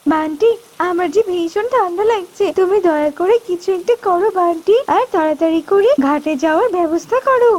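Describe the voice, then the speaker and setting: A woman's voice: very high voice, a little fast, few pauses, a little mellow. A woman voicing a timid, fretful young female bird in a cartoon, anxious and pleading. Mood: shivering, pleading.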